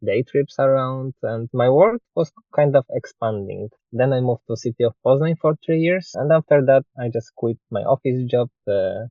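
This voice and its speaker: A man in his thirties from Poland with a Polish accent, answering interview questions as a guest sailor in measured, slightly halting non-native English. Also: mid-range voice, dry recording. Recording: tinny phone-like dry sound.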